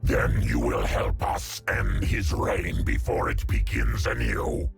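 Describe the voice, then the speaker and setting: An actor voicing a grim, monstrous alien symbiote in a video game, growling in a grave, deliberate way. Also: male voice, rumbling low, very animated, vocal fry, husky, faint music behind, exaggerated voice. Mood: grim, dry.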